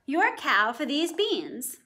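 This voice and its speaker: A sly, funny-looking storybook stranger who speaks in a slow, coaxing way to strike a deal, put on by a woman reading aloud. His tone is bright and lilting. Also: high-pitched, very animated, voice pushed up, full character voice.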